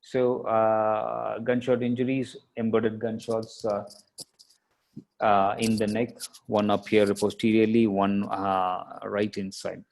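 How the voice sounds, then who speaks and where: A man lecturing as a radiologist, explaining case images step by step in a halting, explanatory way. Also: lowish voice, level tone, vocal fry, darkish tone.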